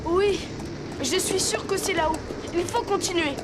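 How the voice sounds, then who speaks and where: A woman's voice: very high voice, normal speed, very noisy. A woman playing a brave, curious little boy hero in a cartoon, earnest and eager. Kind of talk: cartoon voice acting.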